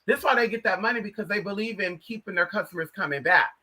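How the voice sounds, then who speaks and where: An American man with a high, strikingly bright and forceful voice hosts a casual livestream. He talks straight to viewers in a sassy, slangy manner, leaning hard on words and calling listeners by pet names. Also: fast talker. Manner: sassy, slangy, emphatic, addresses listeners as honey and girl. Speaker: livestream host.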